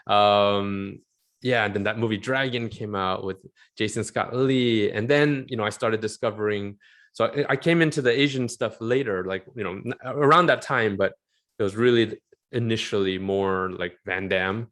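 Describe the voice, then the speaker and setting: Low-voiced animated man in his forties. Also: normal speed. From Southern California. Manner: animated, rambling, laughs often. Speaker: interview guest, film concept artist. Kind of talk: casual interview chat.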